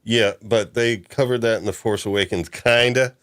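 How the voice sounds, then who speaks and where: A man's voice: lowish voice, steady tone, normal speed, a bit bright, no echo, studio quality. A man hosting a casual podcast discussion, rambling with many restarts and chuckles. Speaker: podcast host.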